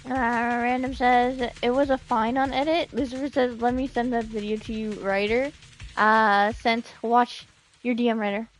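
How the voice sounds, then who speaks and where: Playful high-voiced woman streamer. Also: steady tone, moderate pace. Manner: playful, exclamatory, reactive. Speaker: game streamer. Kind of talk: live stream reactions, chatting with viewers.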